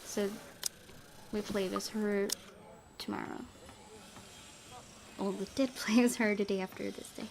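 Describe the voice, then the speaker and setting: A woman's voice: medium pitch, steady tone, unhurried, creaky, pauses often, darkish tone, a little hiss or hum. A woman game streamer reading a visual novel aloud with casual commentary. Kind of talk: let's play narration with casual commentary. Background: faint music.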